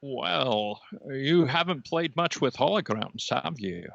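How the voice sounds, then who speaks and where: A man playing a smug, condescending energy being in an improvised comedy interview, with measured, formal phrasing. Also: medium pitch, expressive, vocal fry, a bit bright, dry recording, lo-fi sound, exaggerated voice. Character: smug male energy being, a red laser dot.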